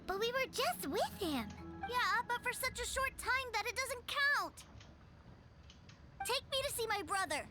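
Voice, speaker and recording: Tiny eager child-like game character, extremely high squeaky voice, animated delivery, loud music underneath. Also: female voice, measured pace. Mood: earnest, childlike. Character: tiny squeaky floating fairy girl, cheeky, and an eager innocent little boy. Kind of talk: video game voice acting.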